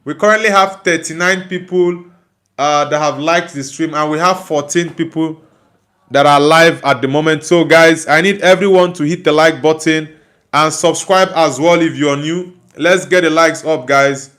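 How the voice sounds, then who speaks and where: Nigerian man with a fairly high voice. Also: steady tone, moderate pace, fairly bright tone. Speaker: football livestream host. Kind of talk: casual live chat, reading and answering viewer comments.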